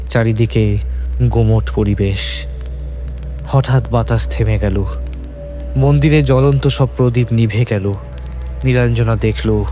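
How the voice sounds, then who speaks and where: Male narrator for scripted horror tale. Mid-pitched, dark mellow tone over soft music. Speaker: story narrator. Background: background music.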